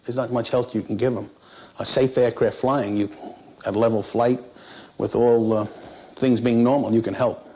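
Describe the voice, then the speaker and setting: A man who works as an air traffic controller recounts his experience in an interview in a halting, plain-spoken, matter-of-fact way. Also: mid-range voice, fairly even intonation, mellow, breathy edge. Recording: noisy 1960s interview recording.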